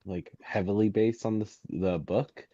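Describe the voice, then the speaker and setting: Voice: low-pitched, fairly even intonation, moderate pace, lo-fi sound, a loud crowd. A speaker chatting casually in a group, with very informal phrasing sprinkled with "like".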